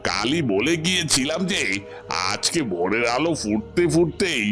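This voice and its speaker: A man's voice: fairly high pitch, big pitch swings, moderate pace. A man playing a wicked, gloating royal minister in a cartoon, mocking and menacing in an emphatic way. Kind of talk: Bengali cartoon voice acting. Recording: music behind the voice. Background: background music.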